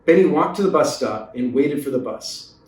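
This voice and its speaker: A man's voice: mid-range voice, expressive, moderate pace, slight background noise. A man reading a children's story aloud as a narrator, recorded in a roomy space away from the mic. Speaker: storybook reader. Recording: roomy echo, distant mic.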